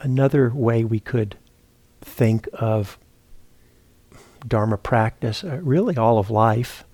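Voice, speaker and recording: A man teaching a guided meditation, speaking in a halting, reflective way as he searches for words. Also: medium pitch, darkish tone, dry recording. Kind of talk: guided meditation talk, unscripted. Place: meditation retreat center, indoors.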